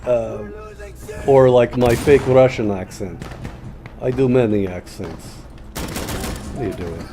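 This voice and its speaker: A man's voice: mid-range voice, takes it slowly, pauses often, background noise. A man streaming a shooter game, rambling in casual commentary with plenty of swearing and answering his chat.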